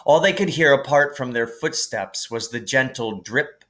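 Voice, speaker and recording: A man reading a storybook aloud as scripted narration, in an expressive, dramatic manner. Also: mid-range voice, normal speed.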